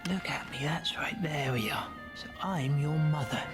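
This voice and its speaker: A man's voice: fairly high pitch, normal speed, lightly acted. A man playing a shy, polite young wizard with a British accent, speaking hesitantly and apologetically in a game cutscene. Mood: soft, tender. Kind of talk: scripted game cutscene dialogue. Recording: music behind the voice.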